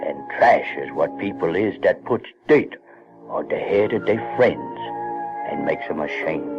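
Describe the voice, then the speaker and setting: A man's voice: low-pitched, lively intonation. An actor playing an earnest, tender-hearted older Southern man with a heartfelt, rustic way of speaking in an audio drama. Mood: grave reproach. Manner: slow, heartfelt, rustic grammar. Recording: dull vintage radio-drama recording, music behind.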